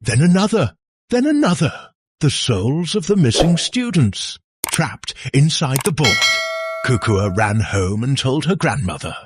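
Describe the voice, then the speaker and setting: A man narrating a scary folktale as a storyteller, in a suspenseful, theatrical way with dramatic pauses. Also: medium pitch, big pitch swings, very noisy.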